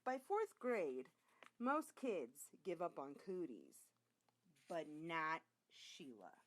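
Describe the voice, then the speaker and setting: Female children's book reader, very animated pitch swings, unhurried pace, expressive delivery. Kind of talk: children's audiobook narration.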